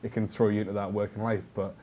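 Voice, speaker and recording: Mellow, low-voiced young North East English man. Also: flat delivery, quick pace, a little hiss or hum. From Northern England. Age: young adult. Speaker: student radio show co-host. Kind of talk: casual chat.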